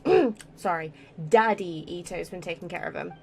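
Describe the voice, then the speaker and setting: A woman's voice: lowish voice, lively intonation, normal speed, a little hiss or hum. A woman giving casual let's play commentary as a gaming streamer, chatty, irreverent and sweary.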